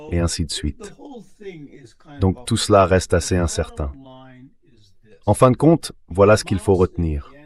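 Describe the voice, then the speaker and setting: Man, deep pitch, explanatory analyst, measured, synthetic voice-over. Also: a little mellow. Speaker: expert guest, international relations professor. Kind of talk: translated voice-over of political analysis.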